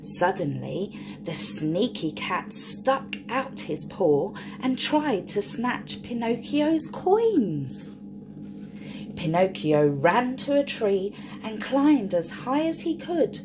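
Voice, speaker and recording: Animated sing-song woman reading to kids. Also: mid-range voice, takes it slowly, background noise. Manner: animated, sing-song, asks the listener questions. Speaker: storyteller for children. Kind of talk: reading a picture book to young children.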